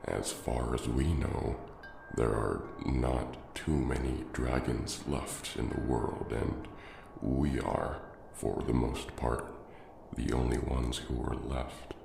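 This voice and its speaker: A very deep dragon growls slowly and solemnly, loyal to his kin yet wary. The voice has a heavy creak and belongs to an ASMR fantasy roleplay. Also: fairly even intonation, full character voice. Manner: slow, solemn. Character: loyal male dragon, wary brother of the alpha. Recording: roomy echo with loud background ambience noise.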